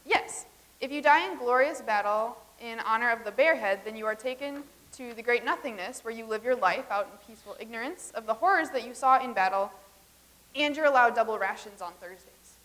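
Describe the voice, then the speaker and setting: Brief: woman reading as a matter-of-fact future visitor, earnest and deadpan, slightly high voice. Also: brisk, lightly acted, low-quality recording. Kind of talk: staged comedy reading.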